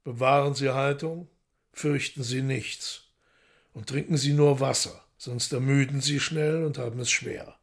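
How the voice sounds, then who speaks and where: A man playing a calm, commanding, courteous devil lord in a German radio drama, speaking unhurriedly with a husky voice. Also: medium pitch, unhurried.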